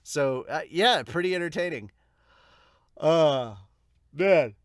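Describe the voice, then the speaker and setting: Male podcast host, casual reviewer, lively swooping intonation, slightly high voice, dry close sound. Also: takes it slowly, dry recording. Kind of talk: casual reaction commentary.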